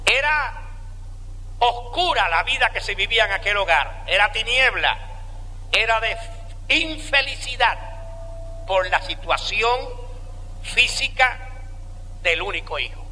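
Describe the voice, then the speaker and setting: A man with a Cuban accent giving a slow, deliberate sermon testimony with dramatic pauses. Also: high-pitched, animated, pushed and bright, long reverb tail, slight background noise, low-quality recording. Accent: Cuban Spanish. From Cuba.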